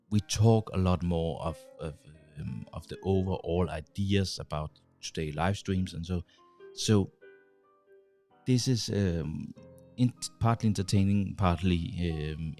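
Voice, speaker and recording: A man in his forties with a Danish accent, hosting a channel and talking casually to the camera from a small home studio, halting, with many restarts and fillers. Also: low-pitched, dark tone. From Denmark. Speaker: host. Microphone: large studio mic on boom arm, headphones.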